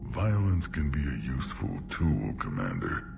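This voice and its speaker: An actor voicing a huge, proud, menacing alien clan leader in a video game, with a gravelly rumble and a blunt, domineering delivery. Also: male voice, sing-song, normal speed, heavily acted. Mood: vengeful, commanding. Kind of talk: video game cutscene acting.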